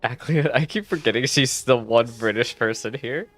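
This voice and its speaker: A man's voice: mid-range voice, moderate pace, fairly bright tone. A man giving casual, teasing live reactions as a game streamer, chatty and joking.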